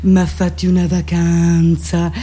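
A woman voicing a languid fairy oracle who gives soothing, dismissive advice in a slow, drawn-out way. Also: lowish voice, completely flat delivery, mellow, husky, voice pushed down.

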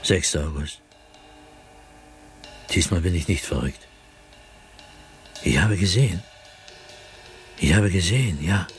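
A brooding, haunted gentleman diarist, low-voiced. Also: slow pace, pauses often, faint music behind. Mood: shaken, fearful. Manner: brooding, intense, theatrical, with sudden swings in emphasis. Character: haunted, agitated gentleman diarist, proud intellectual sliding into fear. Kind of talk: literary radio drama monologue, diary reading.

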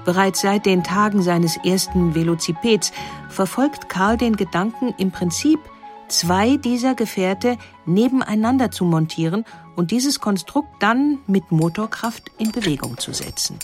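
A woman's voice: mid-range voice, animated, unhurried, over music. A woman reading a scripted documentary narration in a composed, carefully phrased way.

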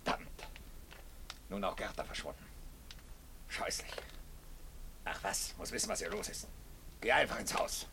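An actor in a radio play playing a stubborn, indignant army major who barks in gruff, clipped, article-free fragments. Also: male voice, low-pitched, lively intonation, a little slow, long pauses, whispered, exaggerated voice. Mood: grimly determined. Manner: clipped telegram-like sentences without articles, gruff cursing. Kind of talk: German radio play acting. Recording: noisy, roomy radio play recording.

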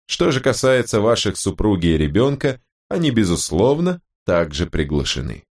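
Audiobook role: smug, genteel old gentleman read by a male narrator setting out a letter persuasively, with highly varied melody. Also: big pitch swings, moderate pace. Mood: inviting. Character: courteous, self-assured old male letter writer, vain. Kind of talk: audiobook reading of a character's letter.

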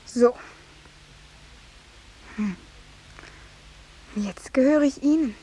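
A coquettish young lady in a vintage radio play. She speaks in a high voice, coy and self-assured, sweetly scheming yet used to giving orders. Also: extremely slow, dark tone, breathy edge, heavily acted, poor audio. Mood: intimate, conspiratorial. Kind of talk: 1950s German radio play acting.

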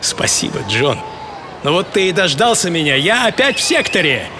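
Актёр играет упорного чемпиона по прыжкам в высоту, театрально, с драматическими паузами рассказывающего о себе в радиоспектакле. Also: male voice, high voice, sing-song, noisy background. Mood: determined, moved. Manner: theatrical, with dramatic pauses. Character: champion high jumper man reliving triumph and injury, intense, defiant. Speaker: actor and author of the programme. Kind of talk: dramatic first-person radio monologue. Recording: studio voice over prominent music.

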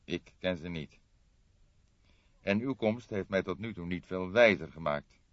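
A dry older police detective, played by a man reading an audiobook. He speaks low, in measured, formal, probing phrases. The sound is slightly dull and roomy. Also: lively intonation, a bit bright. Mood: measured, grave.

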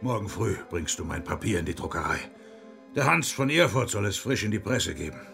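An actor in a German radio play, playing a boisterous, defiant monk reformer with a hearty, coarse, mocking manner. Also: male voice, lowish voice, expressive, fairly quick, vocal fry, fairly bright tone, faint music behind. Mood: dismissive, cocky.

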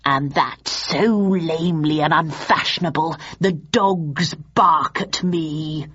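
A villainous king of the stage, performed by a woman narrator, rolls out his verse slowly and grandly. The voice is lowish and theatrical, full of cruel intent, on a slightly dull recording. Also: a bit bright, slightly rough, exaggerated voice. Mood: malevolent, theatrical. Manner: slow, drawn-out, declamatory delivery. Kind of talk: hammy Shakespearean stage declamation.

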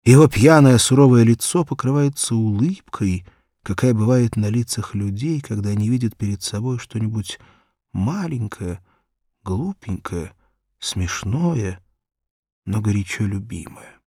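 A man narrating a children's story in an expressive scripted reading. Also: fairly low pitch, unhurried, no echo, clean studio sound. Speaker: audiobook narrator.

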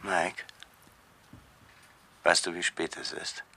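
A very deep, gravelly man in a radio play. He is a pushy, suspicious accomplice after his money, pressing with insistent questions in a forceful tone. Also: little pitch movement, slow pace, full character voice. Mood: impatient, suspicious. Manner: insistent, probing. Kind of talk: radio drama acting.

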